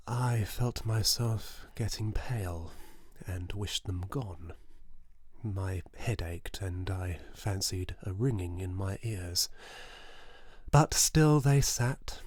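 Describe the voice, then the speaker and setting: A man playing a mad, falsely suave and feverishly nervous murderer in a theatrical gothic horror audiobook reading, with a husky, breathy voice and halting delivery. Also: lowish voice, no echo, studio quality. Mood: uneasy, hushed. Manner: theatrical, halting, swinging from hushed whispers to frantic cries.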